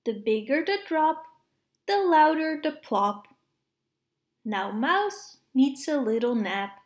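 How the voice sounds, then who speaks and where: Slow, high-voiced woman reading a storybook. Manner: expressive, measured phrasing with playful sound words. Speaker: read-aloud narrator of children's picture books. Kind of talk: storybook read-aloud for preschoolers.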